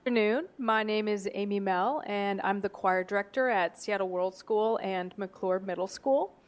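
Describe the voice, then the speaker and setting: A woman choir director giving a short, friendly introduction at a board meeting, a little halting as she speaks. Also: medium pitch. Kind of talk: brief public introduction.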